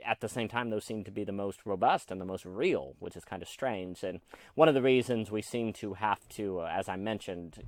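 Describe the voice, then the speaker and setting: A man's voice: on the low side, dry recording. A man hosting his own talk, thinking aloud about ideas in a loose, rambling way with frequent "uh"s and restarts. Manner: thoughtful, rambling with many fillers and restarts. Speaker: podcast host discussing philosophy. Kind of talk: unscripted solo commentary.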